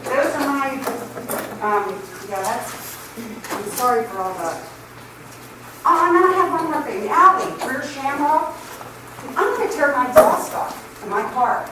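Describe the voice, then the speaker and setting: A woman's voice: sits high, expressive, measured pace, pauses often. A woman resident speaking at public comment, rambling and scattered, apologizing as she goes. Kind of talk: public comment at a council meeting. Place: council chamber. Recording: echoey chamber, far from mic, noisy.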